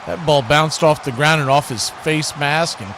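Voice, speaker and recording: An American man calling live football play-by-play in an excitable, colloquial radio style, repeating phrases as the action builds. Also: on the high side, moderate pace. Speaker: play-by-play sports announcer. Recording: faint background noise.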